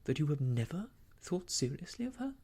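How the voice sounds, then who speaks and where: A man voicing an indignant, breathy young gentlewoman. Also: fairly high pitch, sing-song, fairly quick, full character voice. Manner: formal, emphatic, reproving. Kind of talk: audiobook character dialogue from a classic novel.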